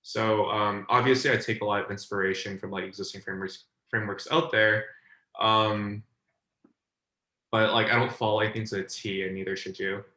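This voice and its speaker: Bright-voiced man mentoring on a video call. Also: fairly low pitch, little pitch movement, fairly quick. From China. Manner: loose and talky, filler words, "like" often, checks "does that make sense". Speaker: design mentor hosting a group session. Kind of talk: casual online teaching. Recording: roomy echo.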